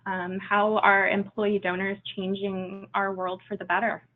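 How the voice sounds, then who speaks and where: A woman's voice: mid-range voice, flat delivery, measured pace, a little hiss or hum. A woman moderating a webinar, thanking her guests often and posing questions in an appreciative manner. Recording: tinny phone-like line.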